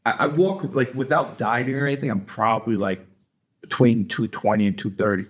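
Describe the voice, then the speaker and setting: A man's voice: mid-range voice, steady tone, fairly quick, breathy edge. A young adult man from Connecticut, a professional fighter as interview guest, talking bluntly with swearing, restarts and fillers. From Greenwich, Connecticut. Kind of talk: casual interview talk.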